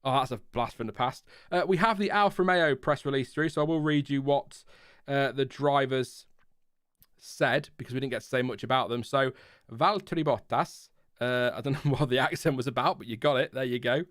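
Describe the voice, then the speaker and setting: A man's voice: mid-range voice, a little fast, fairly bright tone, no echo, studio quality. A British man hosting a casual race reaction, thinking aloud and rambling with many fillers. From Britain. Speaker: host.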